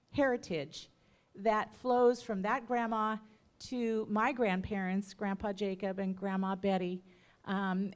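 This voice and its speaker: Middle-aged woman speaking at a memorial. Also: mid-range voice. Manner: unscripted, halting, with many um's and self-corrections. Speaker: family member speaking at a memorial. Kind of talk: memorial remembrance talk. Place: church.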